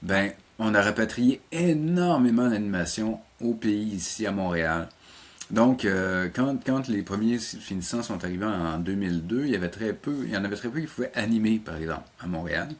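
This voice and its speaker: Middle-aged male teacher, Quebec French accent, lower voice, conversational interview guest who explains in halting, repeated starts. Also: lively intonation. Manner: hesitant, repeats words while explaining. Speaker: college animation teacher and programme coordinator, interview guest.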